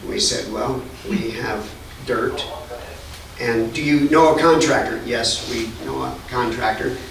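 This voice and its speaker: A man's voice: medium pitch, measured pace, gravelly, a bit bright, slight background noise. A man giving a wry, story-telling public comment at a county hearing. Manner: storytelling, wry, reads a quoted letter aloud. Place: county meeting room. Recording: echoey room, distant mic.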